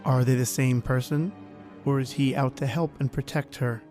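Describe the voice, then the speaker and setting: A man's voice: medium pitch, fairly even intonation, normal speed. Host read: man, mid-range voice, theatrical storyteller delivery for a horror tale intro, music underneath. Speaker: podcast host. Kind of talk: scripted spooky-story introduction.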